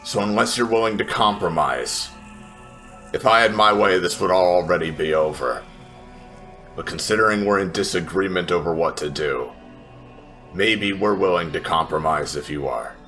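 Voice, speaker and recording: A man playing a blunt, confrontational female adventurer in a tabletop game, speaking firmly and deliberately. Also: low voice, sharp tone, faint music behind. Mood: firm, threatening.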